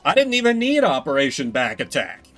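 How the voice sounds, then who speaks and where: A man streaming a video game, commenting excitedly and swearing freely. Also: on the high side, fairly quick. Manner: profane, animated. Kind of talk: excited gaming livestream commentary. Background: game music.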